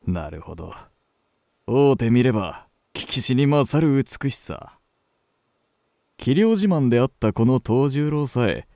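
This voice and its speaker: An actor playing an intense old-time kabuki star confessing forbidden love in a period radio drama, with archaic, theatrical phrasing. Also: male voice, mid-range voice, vocal fry, warm tone, no room sound, clean studio sound. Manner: archaic, theatrical, drawn-out delivery.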